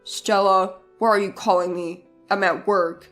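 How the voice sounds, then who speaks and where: A woman's voice: mid-range voice, steady tone, unhurried, pitched below the performer's own voice, lightly acted. Woman voicing a brash, selfish husband over music. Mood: irritated. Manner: brash, defensive, boastful. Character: arrogant, selfish, irritable husband caught deceiving his wife.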